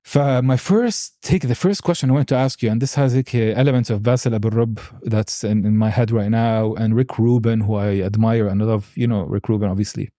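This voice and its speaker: A man's voice: lowish voice, steady tone, fairly quick, hardly any pauses, mellow, no room sound, studio quality. A man hosting a podcast in a casual, informal and rambling interview chat. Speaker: podcast host.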